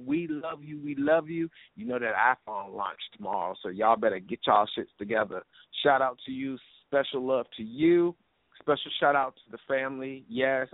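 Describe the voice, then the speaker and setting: A man's voice: medium pitch, dry recording. Effusive male radio host, muffled dry line. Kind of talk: casual interview and sign-off shout-outs. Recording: muffled lo-fi internet radio call.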